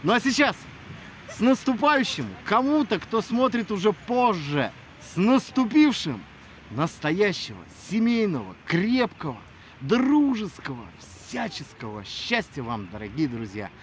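A man's voice: high-pitched, sing-song, unhurried. A man in his thirties, an audiobook narrator, talks casually and earnestly to his followers in an emphatic manner. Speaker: audiobook narrator addressing listeners. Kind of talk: casual video greeting to followers. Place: outdoors in a snowy city square at night. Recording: noisy outdoor city square, traffic ambience.

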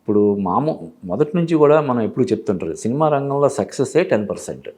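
A man giving explanatory commentary as an interview guest, halting and repeating phrases as he goes. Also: medium pitch, expressive, mellow. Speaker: film industry analyst, studio guest. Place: TV studio.

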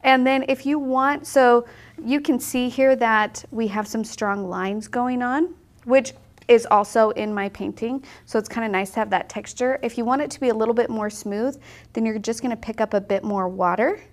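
A woman's voice: a little high, steady tone, normal speed. A woman in her thirties teaching a watercolor lesson in an indoor art studio, chatty and upbeat as she explains each step. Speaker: watercolor instructor. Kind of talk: casual on-camera painting tutorial.

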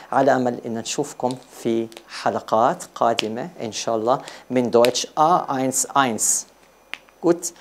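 A man's voice: mid-range voice, slight background noise. A man from Jordan with a Jordanian Arabic accent, a native Arabic speaker teaching a bilingual beginner language lesson and carefully repeating phrases for learners.

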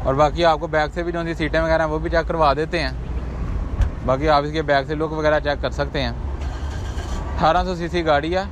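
A man's voice: a little high, steady tone. Pakistani man giving car market patter outdoors. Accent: Pakistani Urdu. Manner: brisk, repetitive salesman patter listing prices and features. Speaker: used car market presenter. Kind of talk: walk-around car market vlog. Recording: noisy outdoor street recording.

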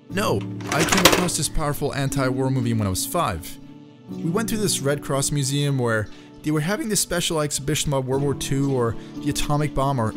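A man narrating a scripted video essay as its host, in a conversational, reflective way. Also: medium pitch. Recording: music under the voice. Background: background music.

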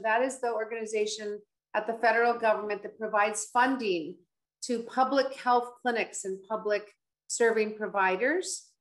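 A woman's voice: a little high, fairly bright tone, rough recording. A middle-aged woman physician giving an informative slide presentation over a video call, explaining things in a conversational way. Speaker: pediatric infectious disease physician, panelist. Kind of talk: informative slide presentation at an online town hall.

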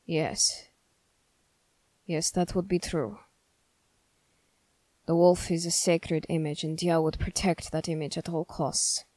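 A woman playing a stern, suspicious young male soldier in an ASMR roleplay, questioning the listener in a measured, formal way. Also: deep voice, level tone, mellow, no room sound. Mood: wary, questioning. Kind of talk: ASMR character roleplay, slow scripted monologue.

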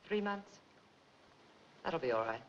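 A woman's voice: deep voice, lively intonation, takes it slowly. An actress playing a grave, wealthy middle-aged woman in a 1940s film, terse, composed and formal. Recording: dull, faintly noisy 1940s film soundtrack.

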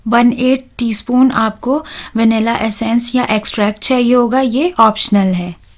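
A woman hosts a home cooking channel. Her voice sits a little high, and she explains each step in a calm, instructive voice-over with soft "uh" fillers.

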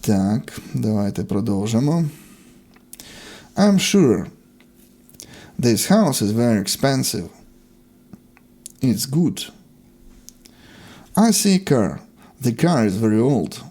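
A man's voice: medium pitch, big pitch swings, slow pace, long pauses, mellow. A man teaching a language lesson, reading out short, separate example sentences in an instructional way. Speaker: English teacher.

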